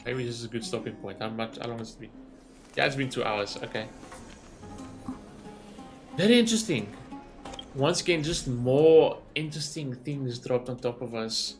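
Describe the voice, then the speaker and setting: A man streaming a game and reacting casually, with a medium-pitched voice. He rambles and thinks aloud as he goes, and soft music plays behind him. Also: lively intonation, normal speed, long pauses. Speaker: gaming streamer.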